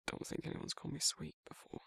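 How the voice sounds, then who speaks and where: An actor playing a shy, protective werewolf in an intimate romantic ASMR roleplay, with a breathy, husky, hesitant and stammering delivery. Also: sharp tone, dry recording, studio quality. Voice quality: husky, breathy, gravelly, often whispering. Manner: hesitant, rambling, stammering, bashful. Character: shy, awkward, protective male werewolf, big and tender.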